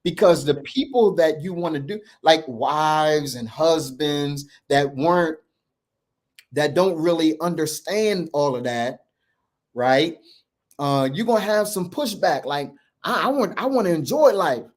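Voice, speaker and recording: A man in his fifties lectures with earnest conviction, circling back and repeating his points for emphasis. His voice sits a touch high for a man, and his speech carries a lilt. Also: expressive, unhurried. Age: 50s. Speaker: podcast co-host. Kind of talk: casual conversation on money.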